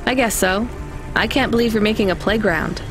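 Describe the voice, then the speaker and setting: A woman's voice: medium pitch, fairly quick, music in the background, lightly acted. An actress playing a young woman delivery driver in a video game, a plain-spoken, guarded newcomer to the city. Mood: dry, curious.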